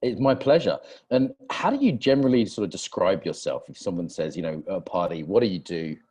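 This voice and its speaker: A man hosts a podcast interview in a low, husky voice. His delivery is conversational and informal, often restating his questions and points as he goes. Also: animated, fairly quick, darkish tone. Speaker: podcast host interviewing a guest.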